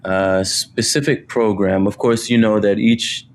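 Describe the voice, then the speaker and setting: A man speaking as a guest on a podcast, talking humbly about his work with many pauses and fillers. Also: lowish voice, steady tone, a little hiss or hum. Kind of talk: casual interview.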